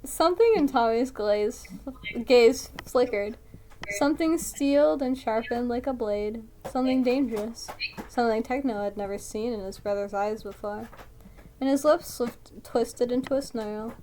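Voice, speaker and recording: A woman's voice: on the high side, normal speed, mellow, a little hiss or hum. A woman reads a story aloud and breaks in with blunt, mocking, sweary casual commentary. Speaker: reader reacting to a fan fiction story.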